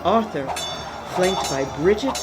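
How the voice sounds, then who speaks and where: A woman giving dramatic, deliberate scripted narration for a radio play. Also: fairly low pitch, lively intonation, music in the background.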